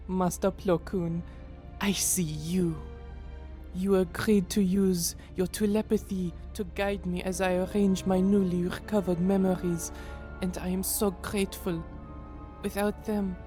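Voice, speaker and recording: Casting: earnest young female apprentice played by a woman, halting, unhurried, soft dark tone, dramatic dub. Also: medium pitch, lightly acted. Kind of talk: dramatic comic dub acting.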